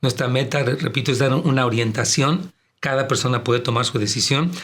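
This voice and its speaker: Male pastor, Latin American Spanish accent, strong projecting voice, medium pitch, explanatory, conversational church program. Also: a little fast, a bit bright. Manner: addresses listeners as brethren, explanatory. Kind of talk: conversational religious and political commentary.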